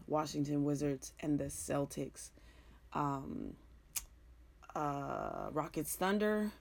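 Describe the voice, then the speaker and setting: A middle-aged woman hosting a sports show in casual, rambling talk, frank and joking, with a raspy, somewhat hoarse voice. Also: deep voice, a little mellow.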